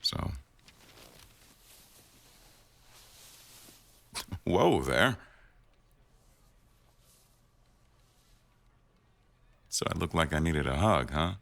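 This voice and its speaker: A man acting an attentive, tender boss in an intimate boyfriend ASMR roleplay, murmuring slowly to an employee in a gravelly voice. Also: lively intonation, fairly bright tone, no echo, heavily acted. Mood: warm, teasing. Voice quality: very deep, gravelly.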